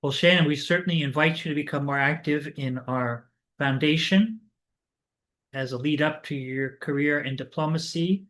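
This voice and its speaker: A man with a slightly raised pitch moderates an online panel over a video call. He reads the audience's questions aloud courteously, with occasional fillers. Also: normal speed, a bit bright.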